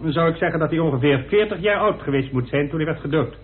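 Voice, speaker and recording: A man's voice: fairly high pitch, lively intonation, quick pace, a little hiss or hum, natural voice. A man playing a precise, assured doctor giving clinical testimony as an expert witness in a radio drama. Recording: tinny band-limited old radio recording.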